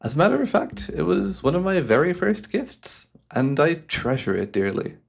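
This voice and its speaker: A man's voice: on the high side, big pitch swings, vocal fry, slightly rough, voice pushed up, full character voice. A man playing a courteous, devious hypnotist who speaks in a formal, soothing, theatrical way, as in an ASMR roleplay. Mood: nostalgic, savoring. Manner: suave, formal, unhurried, theatrical. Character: devious, courteous male hypnotist guiding a client.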